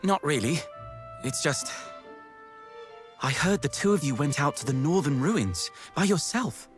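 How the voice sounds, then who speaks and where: A man's voice: on the high side, expressive, measured pace. A man playing an earnest, courteous young knight in a fantasy game, polite and deferential. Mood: earnest, apologetic. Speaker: video game character. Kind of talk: English game cutscene voice acting. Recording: music behind the voice.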